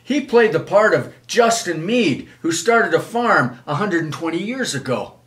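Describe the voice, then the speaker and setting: An older man reads a children's storybook aloud in an expressive, measured way. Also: sits high. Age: older, 70s. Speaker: children's author reading own book. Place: small wood-panelled room, indoors.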